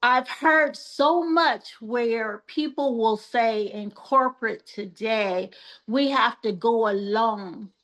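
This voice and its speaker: An older woman guest on a podcast, talking in a soft-spoken, measured and earnest way, with many pauses and fillers. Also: a little high, poor audio. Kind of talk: reflective interview talk.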